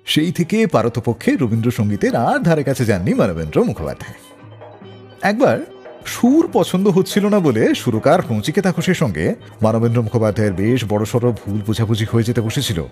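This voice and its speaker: A man's voice: mid-range voice, big pitch swings, brisk, darkish tone. A man hosting a music radio show, narrating scripted stories in a dramatic storyteller's manner. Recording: long reverb, music behind the voice. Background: background music.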